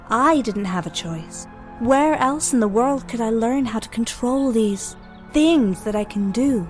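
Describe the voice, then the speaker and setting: A young woman playing a nervous, hesitant teenage newcomer in an audio drama. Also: fairly high pitch. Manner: hesitant, reflective. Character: nervous teenage girl newly arrived at a mutant school.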